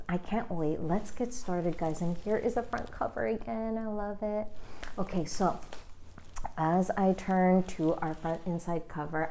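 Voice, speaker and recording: A woman's voice: fairly low pitch, mellow. Chatty woman reading a picture book aloud. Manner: chatty, thinking aloud with frequent fillers. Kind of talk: read-aloud with casual commentary.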